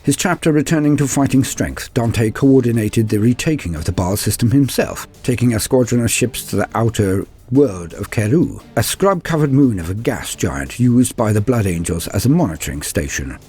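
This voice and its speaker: A man giving a grave, theatrical reading of scripted war lore as a narrator, in measured phrases. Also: on the low side, darkish tone. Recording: voice over background music. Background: music.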